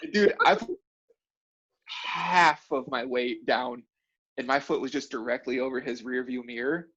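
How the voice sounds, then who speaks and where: A man's voice: mid-range voice, wildly swooping pitch, slow, pauses often, breathy edge. A man in a casual chat, telling anecdotes in slangy, profane language and laughing easily as he goes. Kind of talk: casual chat, animated storytelling. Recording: dull lo-fi call audio.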